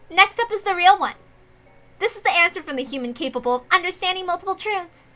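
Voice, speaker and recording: A woman voicing a proud, intense young female detective with theatrical, deliberate delivery in a visual novel reading. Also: very high-pitched, pushed and bright, pitched above the performer's own voice, heavily acted. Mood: menacing triumph.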